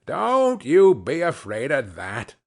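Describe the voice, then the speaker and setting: A man's voice: wildly swooping pitch, slow pace, creaky, a little mellow, full character voice. A man voicing a coarse, greedy woman who cackles and mocks. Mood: cool. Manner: shrill cackling laughter. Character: coarse scheming old charwoman, mocking and greedy.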